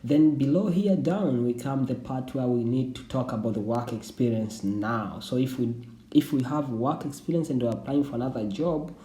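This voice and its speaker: A man's voice: medium pitch, normal speed, mellow. A young Tanzanian man whose first language is Swahili, explaining a screen-share tutorial in a loose, repetitive way with frequent restarts. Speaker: YouTube channel host. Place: indoor room. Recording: roomy echo.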